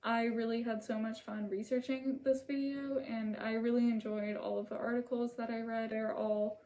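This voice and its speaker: A woman's voice: a little high, flat delivery, normal speed, slight background noise. A woman hosting her own channel, reading a scripted video essay in an earnest, apologetic way. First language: not Italian. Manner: soft-spoken, apologetic, earnest. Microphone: camera mic, seated holding a script.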